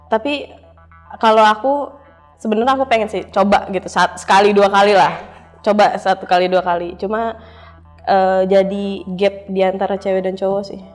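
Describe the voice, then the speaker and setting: A woman's voice: mid-range voice, fairly even intonation, moderate pace. A young woman answering casually as an interview guest, explaining informally and repeating phrases for emphasis. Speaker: interview guest, cyber security analyst. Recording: reverberant room, faint music.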